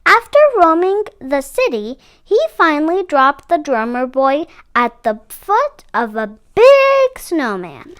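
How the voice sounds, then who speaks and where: A woman's voice: sits high, sing-song, takes it slowly, hardly any pauses, fairly bright tone. A woman narrating a children's picture book expressively, with dramatic phrasing. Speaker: read-aloud storyteller for children.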